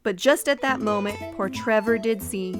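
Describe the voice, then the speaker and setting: A woman reads a rhyming picture book for children at a middle pitch. Clear children's music plays behind her narration. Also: normal speed. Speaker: storybook narrator. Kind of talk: rhyming children's read-aloud.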